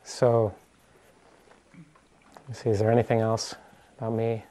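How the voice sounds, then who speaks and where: An American man from the East Coast giving an informal meditation talk as a teacher, hesitant and often pausing to search for words. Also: lowish voice, flat delivery, warm tone.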